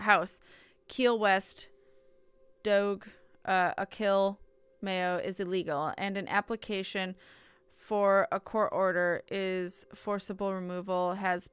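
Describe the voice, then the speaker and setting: A woman's voice: medium pitch, fairly bright tone, clear, resonant tone, no room sound. A woman streaming a visual novel, reading the text aloud with casual asides and often stumbling and correcting herself.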